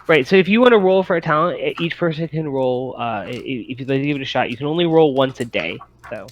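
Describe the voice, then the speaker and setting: A man with a middling voice acts as game master and talks at a quick clip. He explains tabletop rules casually, stammering and restarting his sentences as he thinks them through. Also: medium pitch, expressive, muffled recording. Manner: hesitant, stammering, restarts often.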